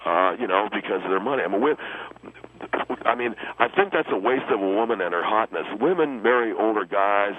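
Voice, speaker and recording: Low-pitched, indignant young guy played by a man phoning a radio comedy show; halting, crude, self-righteous, on a thin, hissy line. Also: lively intonation, close to an everyday voice. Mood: defensive, dismissive. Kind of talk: improvised radio comedy call-in. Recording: phone-like radio line with hiss.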